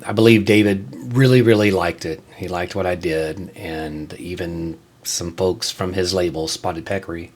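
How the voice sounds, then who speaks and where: A man with a fairly low-pitched voice talks as the host of a casual solo show. His delivery is unscripted and conversational. He halts and repeats words as he goes, then puts emphasis on his key points. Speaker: music show host and reviewer.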